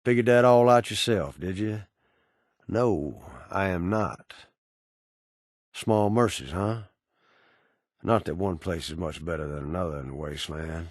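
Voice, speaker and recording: A man's voice: low-pitched, unhurried, pauses often, no echo, studio quality. A man playing a cynical, menacing undead bounty hunter in a video game, with a sardonic, folksy Southern American drawl. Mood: dry, sardonic. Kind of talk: video game character dialogue.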